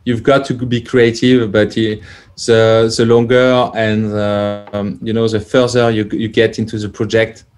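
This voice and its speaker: A man, a plug-in developer guest, answering casually with many pauses as he searches for words over a video call. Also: on the low side, level tone, a little mellow. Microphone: headset on video call.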